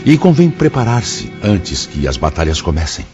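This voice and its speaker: A Brazilian actor playing a solemn, kindly spirit mentor in a radio drama, speaking in a measured, formal, sermon-like way. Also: male voice, low-pitched, very animated, a little mellow. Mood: solemn, exhorting. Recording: dull lo-fi audio with background music.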